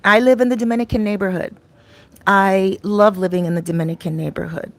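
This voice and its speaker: Chatty woman with a slightly raspy voice. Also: mid-range voice, fairly even intonation, a little fast. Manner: chatty, rambling, with many fillers and restarts. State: slightly raspy voice, clears throat often. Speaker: vlogger and life coach. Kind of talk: casual talk to camera. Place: her home in a Dominican neighborhood.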